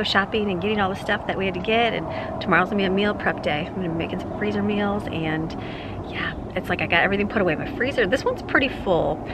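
Fast-talking chatty woman vlogger. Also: medium pitch, noisy background. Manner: chatty, laughs often, rambling asides. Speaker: family vlogger. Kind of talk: casual grocery haul vlog. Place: outdoors on a porch, earlier indoors at a kitchen counter.

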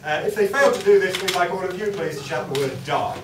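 A man's voice: high voice, fast talker. A man hosting a live improv show, explaining a game to the audience from a small stage. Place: small comedy club stage. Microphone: distant camera. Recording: echoey, noisy room recorded from far off.

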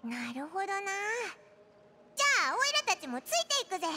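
Very high-pitched tiny childlike fairy. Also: female voice, sing-song, normal speed, background noise. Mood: eager, cheeky. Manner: cute, blunt, boyish wording. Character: tiny excitable floating fairy companion, childlike and food-loving. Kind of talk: Japanese game voice acting. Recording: game music behind the voice.

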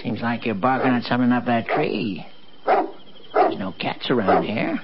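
A man's voice: mid-range voice, unhurried, lightly acted. A man playing a folksy, rambling old country fellow in an old-time radio drama. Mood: folksy grumbling. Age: older. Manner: folksy, rambling, muttering to himself. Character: folksy old country man, grumbling but kindly dog owner. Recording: dull, noisy old radio recording.

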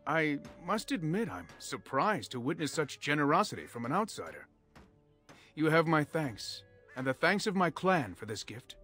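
A lively, formal elf craftsman. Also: a little high, soft background music. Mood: grateful. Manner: formal, earnest, measured. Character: proud, courteous male elf master craftsman. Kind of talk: video game character acting.

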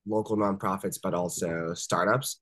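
A man's voice: low voice, fairly even intonation, normal speed, fairly bright tone, dry recording, clean studio sound. A young man in his twenties, a startup founder raised in the Washington DC area, telling stories casually over a video call with lots of fillers. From born in Japan, raised in Washington DC area. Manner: chatty, many fillers like "uh" and "like", laughs easily. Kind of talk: casual interview storytelling. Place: home room on a video call.

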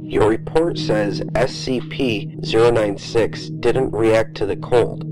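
An actor playing a calm, formal interviewer debriefing a soldier in an audio drama. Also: male voice, on the low side, low-quality recording. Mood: calm, clinical. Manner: measured, formal, probing. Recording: voice over background music.

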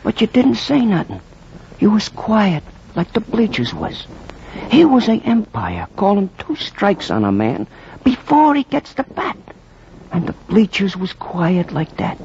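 Excitable Brooklyn ball fan, swooping delivery, slangy tall-tale teller, muffled old radio drama. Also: male voice, sits high, unhurried, gravelly, full character voice. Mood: excited, boastful. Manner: colorful slangy working-class storyteller. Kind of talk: 1940s radio acting, verse-like storytelling. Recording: muffled, faintly noisy 1940s radio recording.

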